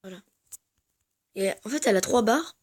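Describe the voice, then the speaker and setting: A man's voice: sits high, animated, normal speed. A man with a head cold giving rambling, hesitant gameplay commentary to his viewers. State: has a head cold, congested. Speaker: gamer.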